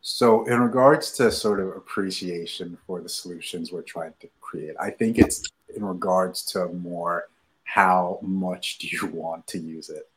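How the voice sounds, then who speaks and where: A man, a startup founder answering interview questions in a measured way with many fillers. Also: low voice, a bit bright, slight background noise, rough recording. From Nigeria.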